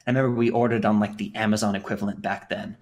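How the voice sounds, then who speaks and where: Rambling young man with a low voice. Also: level tone, rapid-fire, a little mellow. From England. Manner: rambling, with many fillers and restarts. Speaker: podcast guest. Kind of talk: casual conversational storytelling.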